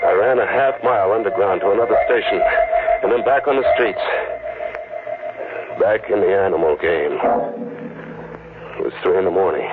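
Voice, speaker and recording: An actor playing a desperate, exhausted man on the run in a 1940s radio thriller, narrating in clipped hard-boiled fragments and stammering. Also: deep voice. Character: desperate, exhausted hunted man telling his story. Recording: dull, very noisy vintage radio recording.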